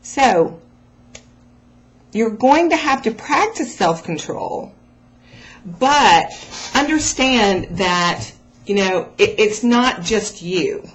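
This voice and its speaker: A woman's voice: medium pitch, animated, extremely slow, pauses often, husky, low-quality recording. A woman talking casually and motivationally to her followers as a vlog host, addressing the listener directly with encouraging emphasis. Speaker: host of a raw food vlog.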